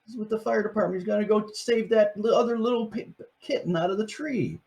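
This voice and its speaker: A man's voice: high-pitched, brisk, gravelly, darkish tone, breathy edge. An older man, a grandfather, reading a picture book aloud to his grandchildren and chatting about each page. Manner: chatty, explains each page in plain words.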